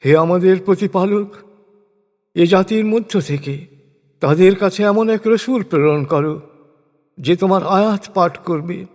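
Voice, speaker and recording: A man giving a solemn, measured reading of a translated scripture, phrase by deliberate phrase. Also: high-pitched, vocal fry, a little mellow. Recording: long cavernous reverb.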